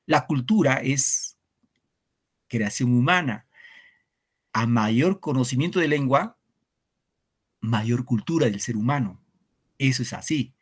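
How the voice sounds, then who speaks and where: A man's voice: medium pitch, lively intonation, slow, long pauses, breathy edge. A man, teaching an online literature class to secondary students in an earnest, reflective way, often asking rhetorical questions. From Peru.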